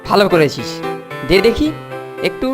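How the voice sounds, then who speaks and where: Young cartoon schoolboy played by an actor: expressive, cheeky, very lively intonation, loud music bed. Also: medium pitch, normal speed, natural voice. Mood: cheerful, eager. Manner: exclamatory, expressive. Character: excitable indignant young schoolboy, cheeky and food-loving. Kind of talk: Bengali children's cartoon dubbing.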